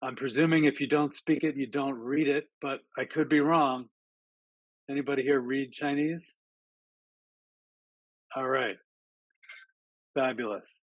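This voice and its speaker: A man's voice: medium pitch, fairly even intonation, measured pace, pauses often, no room sound, dull audio. A man giving a casual talk to an online audience over a video call, friendly and chatty, with frequent ums and uhs. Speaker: speaker at an online town hall.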